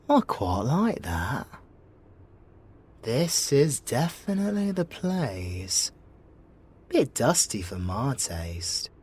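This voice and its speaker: A British man in an ASMR audio roleplay, playing a sly, teasing, menacing male siren with a theatrical, mock-polite manner. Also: mid-range voice.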